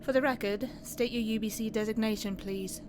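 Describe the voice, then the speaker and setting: A woman's voice: a little high, fairly even intonation, lightly acted. Woman acting formal female officer, noisy recording. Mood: formal, probing. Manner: official, measured interrogation. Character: formal, businesslike female review board officer. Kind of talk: scripted audio roleplay interview.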